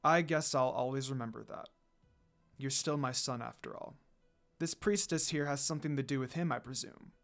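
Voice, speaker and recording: A man voicing a stately demon noblewoman in a story, a formal, questioning mother who softens. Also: medium pitch, normal speed, creaky, soft background music. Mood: resigned, musing. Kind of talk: storybook character reading.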